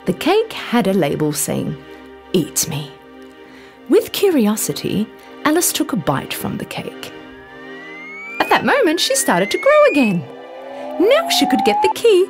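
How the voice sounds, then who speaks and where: A woman reading a scripted bedtime story for children in an expressive, deliberate storytelling manner. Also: high-pitched. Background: background music.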